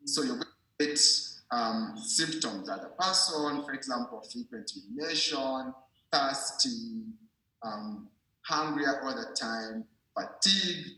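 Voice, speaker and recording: A man's voice: mid-range voice, unhurried, bright, forceful tone. A man giving an informal medical explanation in a didactic way, repeating himself and checking that the listener understands. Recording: very echoey room.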